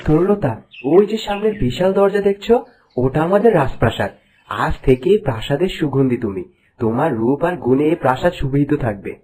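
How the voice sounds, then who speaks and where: Man playing an animated, noble cartoon prince. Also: a little high, a little fast, breathy edge. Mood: proud, tender. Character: noble young male prince, principled and caring. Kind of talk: cartoon fairy-tale voice acting.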